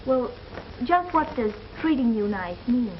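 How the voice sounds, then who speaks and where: A woman's voice: on the high side, lively intonation, unhurried. An actress playing a guarded young showgirl in a 1930s American film comedy, with dry, cool retorts. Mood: dry teasing. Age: young adult. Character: wry, guarded young showgirl down on her luck. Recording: muffled, noisy 1930s film soundtrack.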